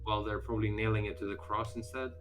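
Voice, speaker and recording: A man's voice: on the low side, level tone, quick pace. A man from Greece with a Greek accent, a game developer chatting casually on a live stream, talking with frequent fillers and restarts.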